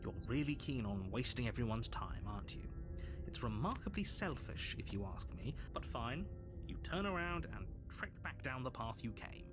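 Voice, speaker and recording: A man voicing a dry, condescending male narrator in a video game, speaking in a smooth, measured way. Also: mid-range voice, sing-song, music in the background, close to an everyday voice. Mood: sardonic.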